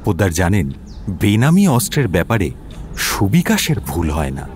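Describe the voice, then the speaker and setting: Expressive, low-voiced male story narrator. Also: normal speed, slight background noise. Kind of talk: scripted detective story narration.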